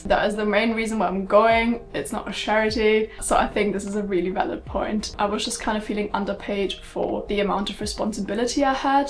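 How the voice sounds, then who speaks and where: A young woman from Germany with a German accent, talking candidly to the camera in a casual sit-down vlog. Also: mid-range voice. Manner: chatty and candid, many fillers like um and like. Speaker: vlogger.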